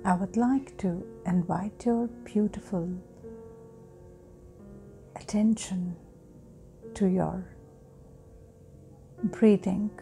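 A woman guiding a sleep hypnosis meditation in a soft, breathy, often near-whispering voice with long pauses. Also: mid-range voice, steady tone. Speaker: guided meditation host. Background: meditation music.

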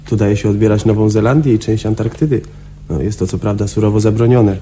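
A man acting a mellow, paranoid, confiding patient. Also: lowish voice, steady tone, slight background noise, lightly acted. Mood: chummy, secretive. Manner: conspiratorial, chummy, halting. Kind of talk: Polish radio play acting.

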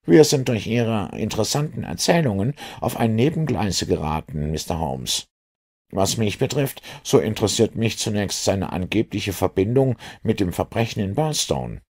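A man voicing a practical, impatient male police inspector with brisk, matter-of-fact delivery in an audiobook. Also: fairly low pitch, expressive, gravelly, a little mellow, husky, exaggerated voice. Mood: practical, insistent. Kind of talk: audiobook character dialogue.

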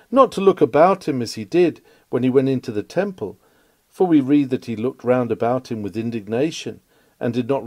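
A man's voice: mid-range voice, dark tone, dry recording. A man reading an old-fashioned sermon aloud in an oratorical style, with an earnest, exhorting and solemn delivery. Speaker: reader of historic sermons. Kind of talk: read-aloud 19th-century sermon, oratorical.

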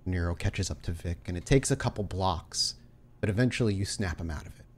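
A man's voice: deep voice, brisk, husky. A man running a tabletop roleplaying game, improvising scene narration in a conversational, affirming way. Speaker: game master. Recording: music behind the voice.

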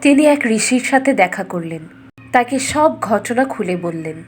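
A woman narrating a scripted fairy tale as a storyteller, dramatic and expressive. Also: fairly high pitch, unhurried, a little hiss or hum.

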